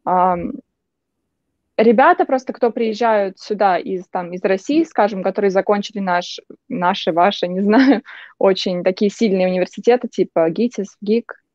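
A young woman from Russia talks as a guest in a relaxed interview. She tells chatty stories with many restarts, in a warm, mellow voice. The recording is dull and dry. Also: mid-range voice, normal speed, dry recording. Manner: chatty, anecdotal, frequent restarts. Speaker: guest, journalist. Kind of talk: casual interview chat.

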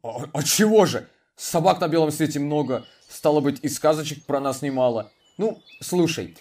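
A man's voice: a little high, expressive, moderate pace, darkish tone, distant mic. A man voicing a proud, touchy male watchdog who speaks bluntly and barks between phrases, in a children's audio drama. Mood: huffy.

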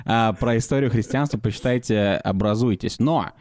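A man's voice: fairly low pitch, moderate pace, a little mellow, no echo. A man co-hosting a casual podcast, explaining topics with digressions and jokes. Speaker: podcast co-host. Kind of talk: casual chat.

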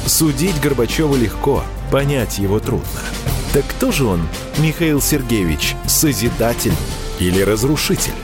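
A man narrating a scripted radio promo in a solemn, theatrical manner. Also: a little high. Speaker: promo announcer.

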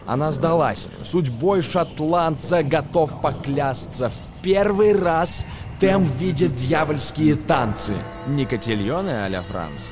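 A man's voice: a little high, animated, slow, music in the background. A man, an actor, narrating a tale in dramatic verse for a radio play, with a theatrical storytelling manner.

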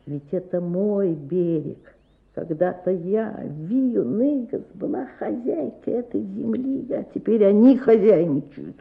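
Low-voiced grumpy old woman, spiteful, sneering, radio drama, narrow muffled sound. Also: expressive, slow, vocal fry. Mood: bitter, scornful. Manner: muttering, grumbling, sneering. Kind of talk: radio play character monologue.

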